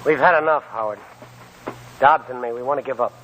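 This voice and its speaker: An actor playing an anxious, worn-out younger prospecting partner in a 1940s radio drama. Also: male voice, medium pitch, a little fast, a bit bright, lightly acted. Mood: fed up. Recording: dull, noisy old radio broadcast.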